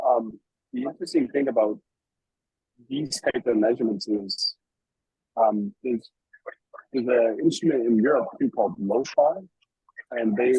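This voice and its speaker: Male researcher, raspy and creaky, thoughtful halting technical talk, dry call audio. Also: medium pitch, mellow, no room sound, poor audio. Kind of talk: unscripted technical discussion. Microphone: teleconference call.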